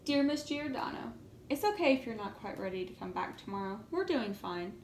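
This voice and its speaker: A woman reading as an earnest, indignant young schoolchild in a storybook, with expressive emphasis. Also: on the high side, fairly quick. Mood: cheerful, warming up. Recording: echoey room, faint background noise.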